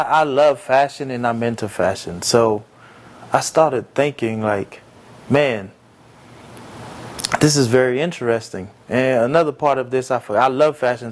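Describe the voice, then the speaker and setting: Young guy from haiti talking in an interview, earnest and thoughtful, lots of ums and pauses. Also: mid-range voice, slight background noise. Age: young adult. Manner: earnest, reflective, with frequent pauses and fillers. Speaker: entrepreneur interviewee. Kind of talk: casual interview answers.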